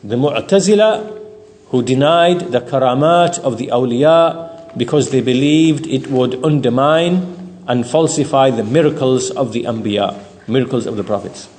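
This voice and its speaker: A man's voice: mid-range voice, measured pace, darkish tone. Man lecturing in a long reverberant echo. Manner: didactic, repeats phrases for emphasis, checks with "right?". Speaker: religious teacher. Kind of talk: explanatory Islamic lecture, partly off the cuff.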